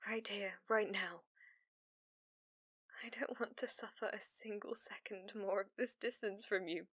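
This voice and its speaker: A woman playing a possessive, devoted fox-spirit familiar in an ASMR roleplay, speaking intimately and often slipping into breathy whispers. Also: mid-range voice, unhurried, a bit bright, dry recording, studio quality. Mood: wistful, yearning. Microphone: close studio microphone, dry.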